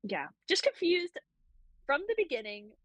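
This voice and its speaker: A woman's voice: high-pitched, big pitch swings, a little slow, a bit bright, no room sound, lo-fi sound. A young woman co-hosting a casual book club chat, rambling and self-deprecating with frequent laughs. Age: young adult.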